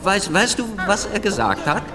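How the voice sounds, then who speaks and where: A nervy young would-be revolutionary for a radio drama. His voice sits a little high and he stammers, catching on consonants. A long hall reverb trails behind him. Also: big pitch swings, moderate pace, over music. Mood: wry, excited. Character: nervy, rebellious young failed lawyer and would-be writer. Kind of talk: German radio drama acting.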